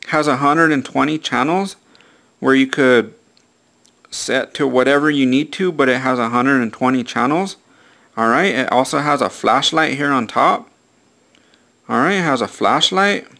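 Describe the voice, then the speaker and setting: A man with a creaky, gravelly voice at an ordinary male pitch talks through a casual hands-on review. He is plain-spoken and repeats himself, like an amateur showing off something he bought. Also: fairly bright tone. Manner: plain-spoken, repetitive, frequent "all right".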